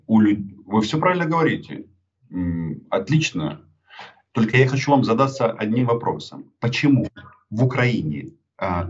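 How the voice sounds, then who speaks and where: Man, 30s, Ukrainian accent, lowish voice, emphatic, rhetorical, dull webcam sound. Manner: forceful, emphatic, rhetorical questions. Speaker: journalist being interviewed. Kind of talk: heated interview on a video call. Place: indoor room with a poster on the wall. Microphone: webcam on a video call. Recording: dull lo-fi video call audio.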